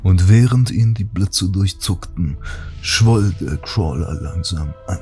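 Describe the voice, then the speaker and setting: A man performs a solemn narrator of a dark fantasy audio drama. His voice is very deep and he reads very slowly and deliberately, with grave weight. Music plays behind him. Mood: ominous, grave. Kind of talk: scripted narration.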